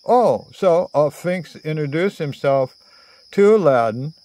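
Storyteller, male, 60s. Slow, halting, digressive and theatrical delivery, slightly high pitch, improvised to a phone camera. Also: lively intonation, dark tone, rough recording. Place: outdoors under cover among greenery. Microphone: phone at arm's length.

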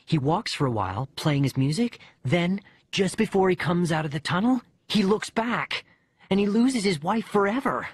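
A man voicing a bereaved young boy who narrates his diary letters in an expressive, conversational audiobook reading. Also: high voice, normal speed, slightly rough, no room sound, clean studio sound, pitched above the performer's own voice. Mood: animated, incredulous.

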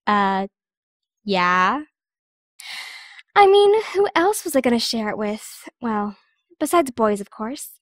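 A woman's voice: fairly high pitch, lively intonation, takes it slowly, pauses often, dry recording, studio quality. A woman playing a sarcastic, impatient young girl in an audio drama, sassy and exasperated.

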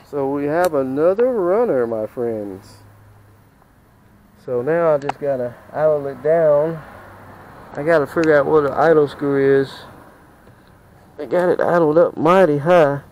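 A man's voice: a little high, a little slow, pauses often, a little mellow, dull audio. A man talking casually and folksily, thinking aloud as he works on a repair outdoors, on a camera mic at changing distance. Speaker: DIY mechanic vlogger. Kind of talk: casual hands-on repair vlog. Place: outdoors on a residential driveway. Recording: noisy outdoor camera audio.

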